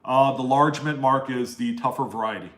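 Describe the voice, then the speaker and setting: A man with a nasal voice at a middle pitch, chatting loosely and warmly with his viewers. He rambles from one question to the next and greets people by name. The sound has an echoey, roomy feel. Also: normal speed. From East Coast US, Maryland. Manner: rambling and friendly, reading out viewer names. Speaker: live stream host answering chat questions. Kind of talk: casual live Q&A chat.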